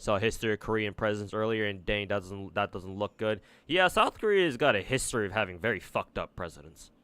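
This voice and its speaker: A man's voice: on the low side, lively intonation, a little fast, fairly bright tone, clear, resonant tone, no room sound. A young man with an American accent, chatting casually on a livestream while gaming, rambling and swearing with many restarts. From United States. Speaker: streamer.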